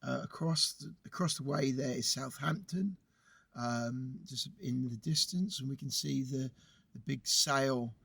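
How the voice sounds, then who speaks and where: A man co-hosting a podcast outdoors by the sea while he has a cold, chatting in a relaxed, rambling way. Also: mid-range voice, measured pace, vocal fry, breathy edge, dry recording. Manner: relaxed, rambling, pointing out sights. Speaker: podcast co-host. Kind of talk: casual chat on location. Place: outdoors by the sea on a windy day.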